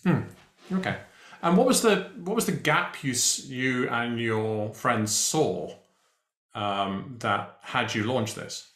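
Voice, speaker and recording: A man hosting a live interview show over a video call, talking in a relaxed way with many ums and pauses. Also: medium pitch. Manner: relaxed, hesitant with many fillers. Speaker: host. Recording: roomy echo on a video call.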